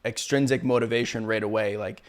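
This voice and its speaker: A man's voice: lowish voice, brisk. Chatty Canadian man hosting an interview. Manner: relaxed, chatty, laughs often. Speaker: podcast host. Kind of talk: casual conversational interview.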